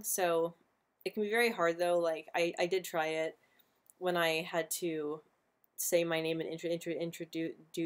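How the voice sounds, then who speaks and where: A young woman hosting a casual live stream, talking with a frequent stutter that repeats sounds and words. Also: lowish voice. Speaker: livestream host answering viewer questions.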